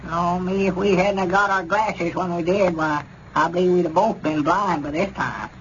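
An actor playing a gullible old country storekeeper with a rural Southern American accent, rambling and repeating himself in 1930s radio comedy style. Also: male voice, sits high, fairly even intonation, normal speed, fairly bright tone. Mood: stubborn, complaining. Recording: dull, noisy 1930s radio recording.

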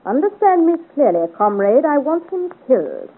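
High swooping female voice, imperious aristocratic villainess, scheming, theatrical, muffled old radio drama recording. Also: very animated, normal speed. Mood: cold, commanding. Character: haughty, scheming noblewoman villain of seventeenth-century France. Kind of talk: 1950s radio serial acting.

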